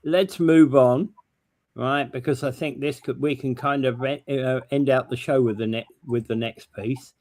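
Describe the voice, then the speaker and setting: Halting male news show co-host. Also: medium pitch, steady tone, darkish tone, no room sound. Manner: halting, many fillers. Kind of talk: casual news talk.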